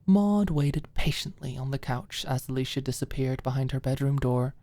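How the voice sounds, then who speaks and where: A woman's voice: rumbling low, moderate pace, dark tone, no echo. A woman reading scripted fiction expressively as an audiobook narrator in an ASMR style.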